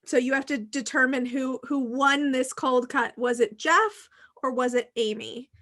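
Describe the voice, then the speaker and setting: Middle aged lady host, high bright voice, gushing and encouraging, casual chat. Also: a little slow. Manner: effusive, encouraging. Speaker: host, speech teacher. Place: remote video call.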